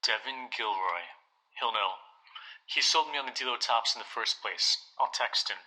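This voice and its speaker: A man's voice: mid-range voice, unhurried, sharp tone, low-quality recording. A young man playing a suspicious, insistent teenage basketball player in a naturalistic audio drama, speaking casually and bluntly.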